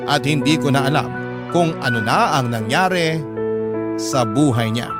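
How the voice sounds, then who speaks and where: High-voiced male radio storyteller over loud music. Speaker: radio host and story narrator. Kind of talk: dramatic reading of a listener's letter. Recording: prominent music under the voice. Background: background music.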